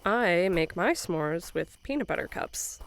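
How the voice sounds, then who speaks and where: A woman's voice: lowish voice, animated, a little slow. A woman playing an earnest, reserved but determined young female camp counselor in an audio drama, sincere and plain-spoken. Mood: reserved, friendly.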